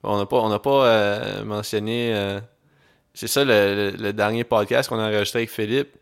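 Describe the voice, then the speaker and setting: Young male podcast co-host, Québécois accent, low voice, easygoing storyteller. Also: normal speed, creaky, clean tone. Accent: Québécois French. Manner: relaxed, laid-back storytelling with English slang mixed in. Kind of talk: casual chat.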